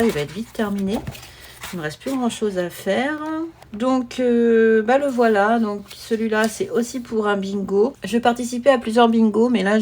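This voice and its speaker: A woman's voice: mid-range voice, darkish tone. A woman chatting informally and easygoingly as she presents a hobby vlog from her desk. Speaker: hobby vlogger presenting her coloring projects. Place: at a desk at home. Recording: noisy home recording with clicks.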